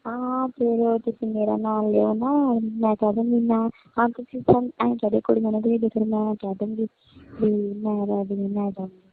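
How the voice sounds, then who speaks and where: A woman's voice: fairly high pitch, flat delivery, moderate pace, dry recording. Young woman on a tinny phone line. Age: young adult. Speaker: niece on a phone call. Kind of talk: casual private phone chat. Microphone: telephone. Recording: tinny, band-limited phone call recording.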